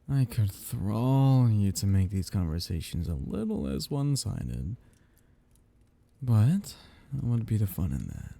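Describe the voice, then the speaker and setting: A man playing a seductive, teasing, menacing vampire noble in an intimate ASMR roleplay, in a breathy, gravelly murmur. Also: exaggerated voice. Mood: amused, taunting. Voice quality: husky, breathy, low murmur with gravelly edges. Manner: slow, teasing drawl with soft whispered dips.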